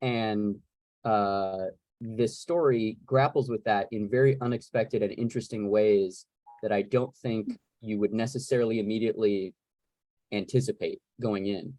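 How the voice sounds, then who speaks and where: A man's voice: fairly low pitch, moderate pace, a little mellow, no echo, low-quality recording. A man moderating a casual Q&A over a video call, talking in a conversational way. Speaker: panel moderator.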